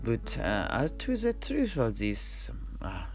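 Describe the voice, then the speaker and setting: A man playing a genial old-world seafarer who recounts his grandfather's voyages in measured storyteller's phrasing. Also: medium pitch, huge pitch swings, full character voice. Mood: measured, explanatory. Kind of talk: in-character fantasy storytelling. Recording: music playing under the voice.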